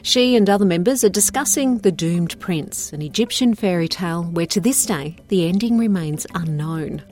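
A woman's voice: fairly low pitch, animated, normal speed, hardly any pauses, a little mellow. A woman reading scripted documentary narration as a presenter. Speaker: podcast presenter and reporter. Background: background music.

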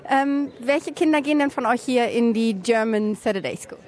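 A woman reporter with a slightly high voice does an interview on location. She asks the parents and children short questions in a friendly, curious way. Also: normal speed, darkish tone.

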